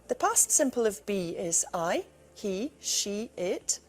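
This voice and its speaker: A woman teacher reading a scripted grammar lesson slowly and clearly, played from a tutorial video. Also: medium pitch, big pitch swings, soft background music. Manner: slow, clear, deliberate.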